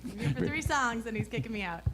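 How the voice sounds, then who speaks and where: A woman's voice: on the high side, expressive, quick pace, very noisy. A woman from the Philippines, a singer and bandleader, chatting on stage in a playful, self-deprecating way. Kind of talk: casual on-stage chat. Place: live music studio stage. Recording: live stage with crowd and music behind.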